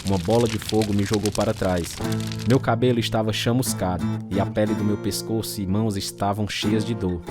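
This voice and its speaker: Guy acting troubled bitter alcoholic man, brazilian accent, lowish voice, radio drama story, clear guitar music behind. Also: natural voice. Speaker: narrator and protagonist of a radio testimony drama. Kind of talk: dramatized first-person radio narration. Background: acoustic guitar music.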